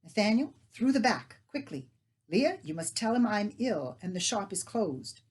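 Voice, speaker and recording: A woman's voice: medium pitch, very animated, moderate pace, breathy edge, pitched above the performer's own voice. A woman voicing a stern, serious middle-aged male baker who speaks in a grave, firm way.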